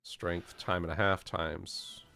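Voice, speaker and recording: A man's voice: low-pitched, flat delivery, slow, vocal fry, bright, forceful tone, soft background music. A man playing a tabletop game, chatting casually, repeating short phrases and muttering sums aloud.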